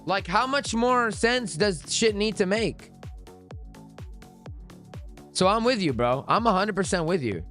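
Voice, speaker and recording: A man hosting a casual trading live stream, hoarse after losing his voice, talking in slangy, profane bro-talk with low energy. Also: lively intonation, a little slow, pauses often, fairly bright tone, faint music behind. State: hoarse, lost voice. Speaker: livestream trading host reading chat.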